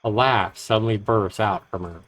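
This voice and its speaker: Male narrator for horror visual novel: slightly deep, deliberate, expressive dramatic delivery. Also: noisy background. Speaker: streamer reading a visual novel aloud.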